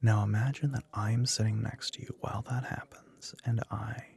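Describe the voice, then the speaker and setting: A man playing a smug, teasing young telepathic classmate in a soft-spoken ASMR roleplay, dry and matter-of-fact. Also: fairly low pitch, fairly even intonation, moderate pace, vocal fry. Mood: persuasive, teasing.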